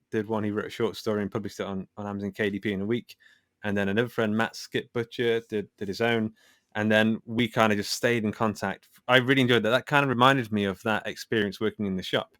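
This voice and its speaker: A man's voice: fairly low pitch, fairly bright tone, no echo, clean studio sound. A man speaking as a podcast guest, casually recounting his creative work in a self-deprecating way. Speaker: podcast guest, author and filmmaker.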